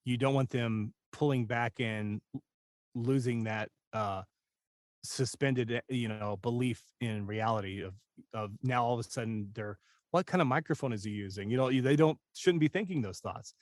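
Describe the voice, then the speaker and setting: A man's voice: mid-range voice, normal speed, no echo. A man talking casually as a guest voice-over coach, candid and self-deprecating as he shares anecdotes. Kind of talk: casual conversational interview talk.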